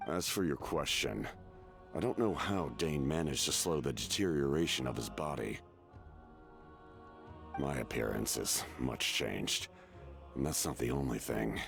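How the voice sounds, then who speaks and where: An actor playing a weary, proud ancient warrior in a video game, speaking slowly and gravely with a deep, gravelly voice. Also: male voice, full character voice. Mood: solemn, regretful. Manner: slow, measured, grave. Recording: background music under the voice.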